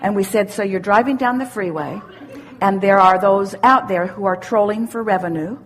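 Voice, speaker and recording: A woman teaching interactively as a seminar speaker, emphatic and deliberate, repeating phrases and posing questions. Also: medium pitch, rough recording.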